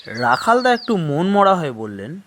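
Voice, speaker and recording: A man's voice: on the high side, slight background noise. An actor playing a curious, eager man, narrating his own adventure expressively in a horror audio story. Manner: expressive, unhurried. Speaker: first-person narrator.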